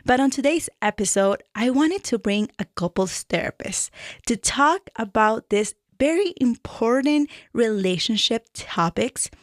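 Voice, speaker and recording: A woman from Peru with a Peruvian Spanish accent, a therapist hosting a radio show and reading a prepared introduction in a measured, earnest way. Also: on the high side, lively intonation, no room sound, clean studio sound. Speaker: radio show host and mental health therapist.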